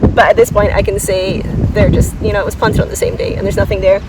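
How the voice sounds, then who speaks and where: A woman's voice: medium pitch, little pitch movement, brisk, heavy background noise. Chatty woman vlogging outdoors, mic at a distance. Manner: enthusiastic, informal, self-deprecating, thinks aloud. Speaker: gardening vlogger giving a garden tour. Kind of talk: casual, unscripted chat to viewers. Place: outdoors in a flower garden.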